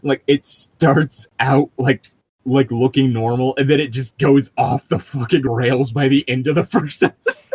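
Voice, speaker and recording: A man's voice: medium pitch, fairly even intonation, measured pace, breathy edge. A man in casual group chat, rambling and sardonic, with frequent swearing.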